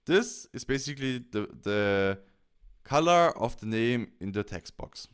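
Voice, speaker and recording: A man with a smooth, clean voice at a middle pitch narrates a software tutorial. He explains each step plainly, in short instructional phrases, close to the microphone. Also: lively intonation, a little slow. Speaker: tutorial presenter.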